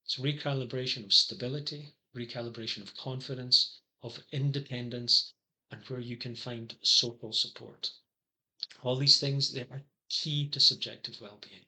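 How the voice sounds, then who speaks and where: A middle-aged man with a Scottish accent and a very bright, ringing voice, pitched in the middle range. He lays out his services in a scripted pitch, slipping dry jokes between earnest points. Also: fairly even intonation, moderate pace, low-quality recording. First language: English. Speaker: psychology consultant. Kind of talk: scripted promotional monologue.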